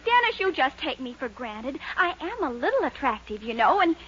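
Muffled vintage radio comedy, young girlfriend, very high pitch, lively swoops, coy, teasing, emphatic. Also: moderate pace. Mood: exasperated. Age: young adult. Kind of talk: 1940s radio sitcom acting. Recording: muffled 1940s radio recording, faint noise.

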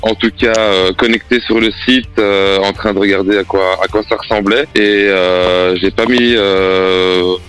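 A man in his thirties from Belgium talks as a podcast guest, with a low voice. He speaks casually and haltingly, restarting often and filling pauses. Faint music sits behind him. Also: steady tone, a bit bright, clear, resonant tone. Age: 30s. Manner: halting, many fillers and restarts. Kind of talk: casual interview conversation.

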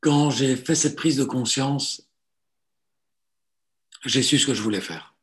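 A man in his forties, a psychotherapist and coach, telling his life story in a relaxed interview, hesitant with many fillers and restarts. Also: mid-range voice, slow pace. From Italy. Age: 40s.

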